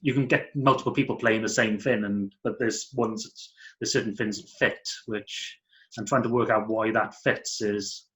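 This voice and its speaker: A man's voice: fairly low pitch, fairly even intonation, normal speed, fairly bright tone, rough recording. A man hosting a casual interview, thinking aloud in a tentative way and putting questions to guests. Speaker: host and interviewer. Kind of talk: casual chat.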